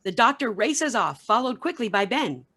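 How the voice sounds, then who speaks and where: A woman's voice: a little high, sing-song, normal speed, a bit bright, slightly rough. A woman narrating scripted stage directions for a radio play.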